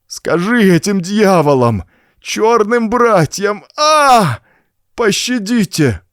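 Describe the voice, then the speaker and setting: Panicked old rancher, voice shoots way up high, shrieking in pain, horror audiobook. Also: male voice, very animated, slow, a bit bright, no room sound, clean studio sound, heavily acted. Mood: screaming terror. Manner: frantic, shrieking. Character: terrified older rancher in agony on the phone.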